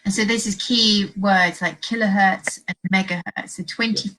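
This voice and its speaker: A woman's voice: mid-range voice, steady tone, normal speed, bright, forceful tone, low-quality recording. A woman hosting an informal educational interview, chatty, putting things in plain terms and asking questions. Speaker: host.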